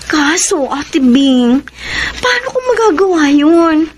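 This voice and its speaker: Radio drama escort: coy young Filipina, very lively pitch, slow delivery, music underneath. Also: female voice, slight background noise, exaggerated voice. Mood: hesitant, guilty. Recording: music bed behind the voice.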